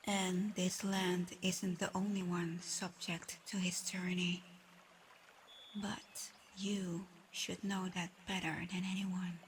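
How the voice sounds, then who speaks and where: A woman playing a weary, proud but gentle female dragon in a soft, breathy, measured ASMR roleplay. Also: lowish voice, little pitch movement, a little hiss or hum. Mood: somber, bitter. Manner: soft, breathy, half-whispered, measured delivery. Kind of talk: ASMR roleplay, scripted one-sided dialogue.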